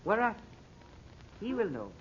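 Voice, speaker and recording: An actor playing a sly North African go-between who speaks formal, halting broken English in a 1940s radio drama. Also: male voice, high voice, wildly swooping pitch, husky, full character voice. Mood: guarded. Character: sly North African native go-between peddling stolen papers. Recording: dull old radio transcription.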